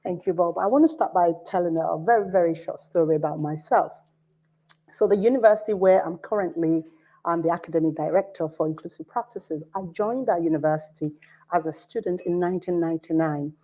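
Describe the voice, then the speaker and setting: Nigerian woman in her forties, lowish mellow voice, earnest panel speaker telling a personal story. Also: a little fast. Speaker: panelist, academic director. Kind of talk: conference panel talk.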